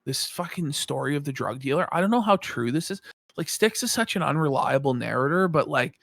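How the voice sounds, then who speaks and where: A man co-hosting a podcast, chatting crudely and mockingly about an online figure, with loud outbursts when thanking supporters. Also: fairly high pitch, creaky, no echo, studio quality. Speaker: podcast co-host. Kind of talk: casual commentary chat.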